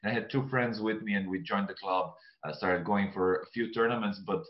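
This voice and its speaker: Young male host with a Croatian accent, low-pitched, easygoing and filler-heavy, echoey home room over a lo-fi call. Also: fairly quick. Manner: friendly, chatty, many ums and uhs. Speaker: podcast host. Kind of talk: casual video-call interview. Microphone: video call. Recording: echoey room, dull lo-fi sound.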